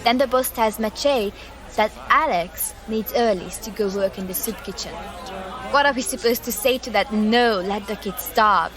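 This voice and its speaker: An actress playing an aggrieved, gossipy call-centre worker in an audio drama, complaining chattily. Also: female voice, mid-range voice, moderate pace, close to an everyday voice. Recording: busy crowd chatter behind.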